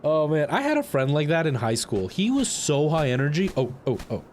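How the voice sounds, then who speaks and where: A man's voice: a little high, huge pitch swings, fairly quick, a little mellow, a little hiss or hum. A man chatting casually to viewers on a game stream, telling rambling anecdotes. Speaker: live streamer.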